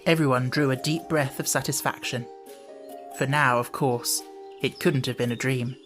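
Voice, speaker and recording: A woman narrates a children's storybook from a script. Her voice sits low for a woman. Music plays behind her reading. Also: fairly even intonation, moderate pace. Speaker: audiobook narrator.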